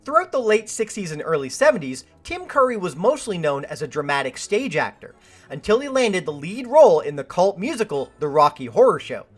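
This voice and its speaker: A woman's voice: fairly low pitch, a bit bright. A woman hosting a film review, reading a scripted video essay with wry, joking asides.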